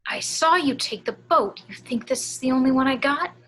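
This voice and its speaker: A woman's voice: sits high, lively intonation, moderate pace, a bit bright, slight background noise. Woman acting a menacing, hostile gun-toting woman. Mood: hostile, suspicious. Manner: menacing, folksy, clipped orders. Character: hostile armed woman guarding a haunted theater, threatening. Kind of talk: amateur horror screenplay table read.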